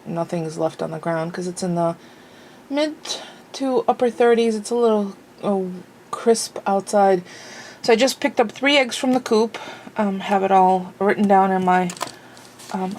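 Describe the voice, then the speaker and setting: A woman's voice: mid-range voice, a little mellow, a little hiss or hum. A woman vlogging at home in a casual, rambling way, a little sniffly and full of fillers. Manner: rambling, chatty, many fillers. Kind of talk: casual chat. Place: home, indoors.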